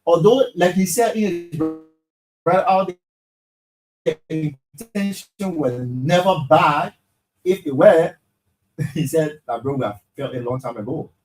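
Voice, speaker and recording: A man's voice: fairly high pitch, unhurried, faint music behind, low-quality recording. Male co-host, conversational, stacks up repeated words for emphasis. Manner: animated, repeats words when he gets emphatic. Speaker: co-host of a night talk show. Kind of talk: casual studio chat and reading aloud.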